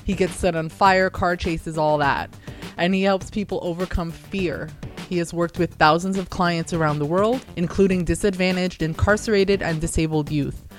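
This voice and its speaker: A woman hosting a podcast, reading her own promo upbeat and clearly before interviewing a guest. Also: lowish voice, steady tone, moderate pace, soft background music. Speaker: podcast host.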